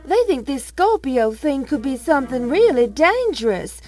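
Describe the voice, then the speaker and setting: A woman's voice: high-pitched, sing-song, moderate pace, darkish tone, soft background music. A woman playing a haughty, self-pitying young heiress in an audio soap drama. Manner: petulant, drawn out.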